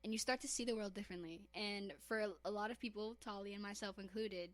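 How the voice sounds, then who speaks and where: A woman's voice: mid-range voice, fairly even intonation, quick pace, dry recording. Young woman podcast co-host, reflective casual talker, dry recording. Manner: reflective, builds ideas at length. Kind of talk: casual chat.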